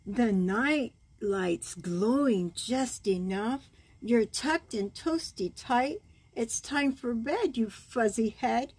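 An older woman in her sixties reads a children's bedtime story at home. Her voice sits fairly high for a woman and has a husky, worn grain. She goes very slowly, expressive and gentle, adding cooing comments on the pictures. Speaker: grandmother reading storybooks to children. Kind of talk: read-aloud bedtime story with side comments. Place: small room at home, indoors.

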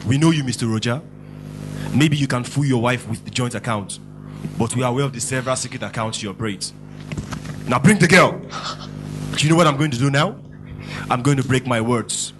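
A man voicing a menacing Nigerian kidnapper who taunts his victim with slow, cold threats. His mid-pitched voice carries a mocking edge over faint hum. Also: natural voice.

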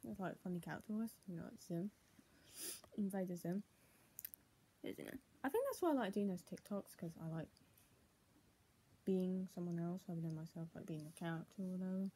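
A young woman vlogger with a husky, muffled voice, speaking at home into a tablet. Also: on the low side, brisk, long pauses, mellow, slight background noise. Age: young adult. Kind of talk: casual home vlog, partly reading aloud. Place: small room at home, indoors. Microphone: tablet built-in mic at arm's length.

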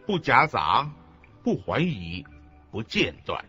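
Slow, forceful scripture reciter. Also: male voice, mid-range voice, very animated, breathy edge, full character voice. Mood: solemn, emphatic. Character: solemn, emphatic male reciter of Buddhist sayings. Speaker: reader of quotations in a radio lecture. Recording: dull lo-fi recording with faint music.

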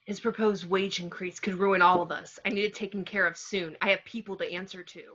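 A woman reads the part of a high-strung, scheming designer who doubles as awards announcer in a comedy table read. The voice is tense and bright, coming through a slightly dull video call. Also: fairly even intonation, fairly quick, lightly acted. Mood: conspiratorial.

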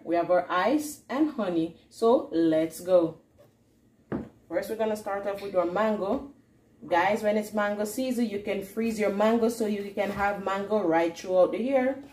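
A woman's voice: mid-range voice, a little mellow. A woman hosting a cooking vlog, giving a casual recipe demonstration in a home kitchen. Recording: roomy kitchen echo with faint hiss.

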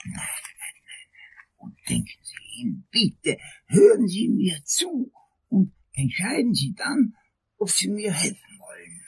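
An actor playing a fussy, shaken older lawyer in a crime radio play, with a breathy, raspy, halting, long-winded delivery. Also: male voice, high-pitched, wildly swooping pitch, heavily acted. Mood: pleading. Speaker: client, prosecutor character.

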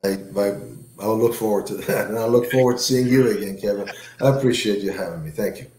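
A man's voice: fairly low pitch, a little fast, a little mellow. A man in his sixties, an author guest chatting easily over a video call, with plenty of fillers and repeated words. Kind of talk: casual interview chat. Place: home room with plant, indoors. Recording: roomy video call audio.

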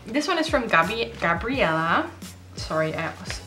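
A young woman whose first language is Dutch, chatting excitedly in English as she opens mail at her desk at home, often breaking off and restarting. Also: mid-range voice, moderate pace, music in the background. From Netherlands. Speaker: stationery vlogger. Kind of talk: casual unboxing chat.

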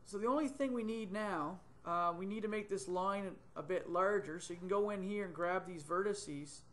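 A middle-aged man with a fairly high voice walks through a 3D modeling task on screen. He thinks aloud as he goes, filling pauses with ums and correcting himself on the fly. He is recorded on a desktop computer mic in a small room. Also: fairly bright tone. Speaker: software tutor. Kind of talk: screen-recorded software tutorial. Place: small indoor room, webcam in corner of screen.

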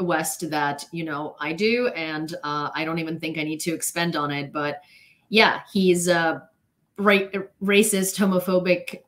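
A woman's voice: on the low side, fairly bright tone. A young woman whose first language is Ukrainian, giving blunt, opinionated news commentary on a casual livestream from her bedroom, with many fillers and restarts. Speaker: news vlogger. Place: small bedroom at home.

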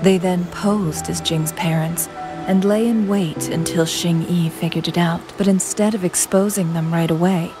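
A woman voicing a calm, composed strategist in a video game, telling a story in a measured way. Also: fairly low pitch, music in the background, lightly acted.

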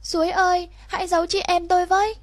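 Anxious little storybook girl, played by a woman, very high and pleading, dry recording. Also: level tone, fast, no echo. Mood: urgent pleading. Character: anxious little girl searching for her brother. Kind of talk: storybook reading.

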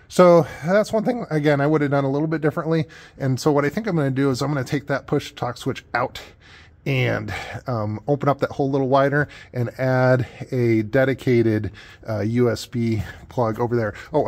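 A man hosting a casual, unscripted product walkthrough, talking in a hesitant, off-the-cuff way behind a handheld camera. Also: medium pitch. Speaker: host showing his aircraft setup.

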